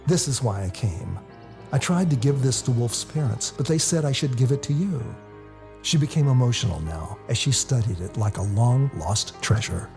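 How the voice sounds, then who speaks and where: Gravelly, mellow man narrating over music. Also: mid-range voice. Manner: confessional, expressive storytelling. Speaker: author reading their own novel. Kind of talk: first-person dramatic audiobook narration. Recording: music under the voice.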